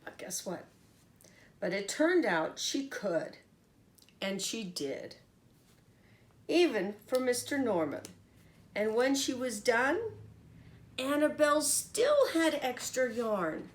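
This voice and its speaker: A woman's voice: a little high, sing-song, slow, pauses often. A woman reading a picture book aloud to children as a teacher, expressively and with little comments to her listeners. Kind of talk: animated picture-book storytelling.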